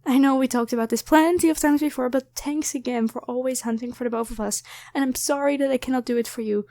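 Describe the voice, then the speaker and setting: Woman acting high-voiced, flustered, grateful young woman. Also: fairly even intonation, fast talker, mellow. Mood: apologetic, caring. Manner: rambling, apologetic, hesitant, stammering. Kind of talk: ASMR roleplay addressed to the listener.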